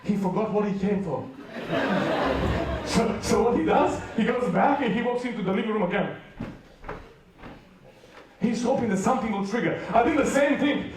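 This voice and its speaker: Serbian-accented preacher in an echoey hall. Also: male voice, extremely high pitch, distant mic, slight background noise. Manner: animated comic storytelling, acts out dialogue. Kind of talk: humorous sermon storytelling. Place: large hall with a youth audience.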